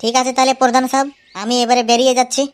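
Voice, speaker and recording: A man's voice: very high voice, little pitch movement, very fast, a crowd in the background. A man voicing an eager, naive young village census worker in a comedy cartoon, chatty and repetitive, with a rural West Bengal Bengali accent. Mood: eager, obedient. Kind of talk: amateur comedy cartoon dialogue. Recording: loud background noise, clicky home dub.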